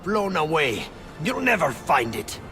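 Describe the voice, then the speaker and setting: A man's voice: a little high, big pitch swings, a little slow. An actor playing a tight-lipped, defiant henchman of a crime boss in a video game, speaking tersely. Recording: loud music over the voice.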